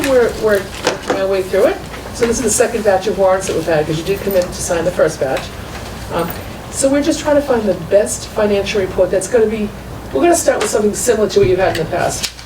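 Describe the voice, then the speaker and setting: Woman explaining documents in an echoey room. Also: mid-range voice. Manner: explanatory, walking through documents. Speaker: school business manager. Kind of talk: meeting report. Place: school committee meeting room. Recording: echoey far-off room mic with noise.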